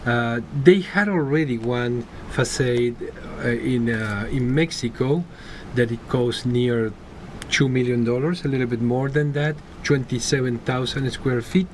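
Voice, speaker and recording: A man's voice: medium pitch, slight background noise. A man from Argentina with an Argentine Spanish accent, a guest on a talk show, talking eagerly with many fillers and frequent chuckles. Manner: enthusiastic, chuckles often, halting with fillers. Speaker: guest, business owner. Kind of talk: casual interview chat.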